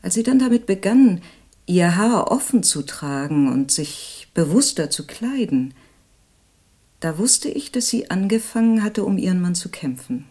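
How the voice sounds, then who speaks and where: A woman's voice: on the low side, very animated, unhurried, dark tone, full character voice. An actress playing a gossipy, pitying female friend who confides her opinions in an audio drama. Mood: reminiscing. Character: gossipy, pitying woman, loyal friend testifying.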